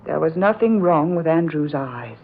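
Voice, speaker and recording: Casting: a troubled young fiancée, lowish voice, hesitant and urgent, vintage radio melodrama on a muffled recording. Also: female voice, expressive, moderate pace. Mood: upset, urgent. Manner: hesitant, urgent, earnest delivery with repeated words. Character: frightened, distressed young fiancée, suspicious of her aunt. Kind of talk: old-time radio drama acting. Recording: dull, noisy old radio recording.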